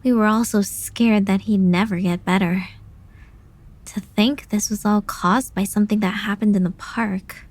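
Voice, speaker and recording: A woman's voice: medium pitch, steady tone, moderate pace, darkish tone, close to an everyday voice. A woman playing a worried, reflective sister thinking aloud in an audio drama monologue, musing and dramatic.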